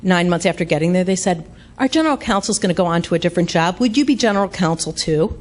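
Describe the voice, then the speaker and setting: A middle-aged woman speaks in a low, big voice into a handheld mic. She tells her career story to a business audience and laughs at herself along the way. The room is a meeting hall. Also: expressive, fast talker. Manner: self-deprecating, laughs through anecdotes. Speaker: guest speaker, lawyer.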